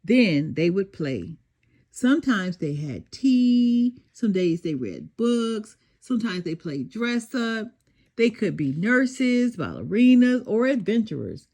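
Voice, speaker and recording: An older woman reading a children's story aloud in an expressive storytelling style. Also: medium pitch, takes it slowly.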